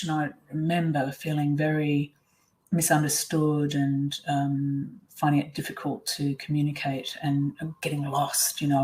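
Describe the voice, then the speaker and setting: A middle-aged woman, whose was Swiss German, telling her own story in a webinar, candid and reflective with many pauses and ums. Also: low-pitched, a little mellow, low-quality recording. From Australia. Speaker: webinar presenter.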